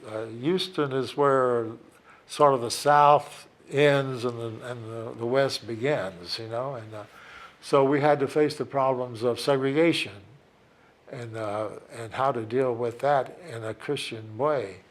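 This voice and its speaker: A man's voice: medium pitch, a little slow. A man speaking as a church leader in a documentary interview, reflective and hesitant, with frequent fillers.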